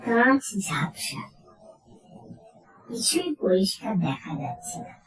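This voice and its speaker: Female horror storyteller, highly expressive and suspenseful, unhurried, roomy sound. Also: soft background music. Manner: suspenseful, theatrical, with dramatic pauses. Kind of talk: dramatic first-person horror narration. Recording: roomy echo, distant from mic.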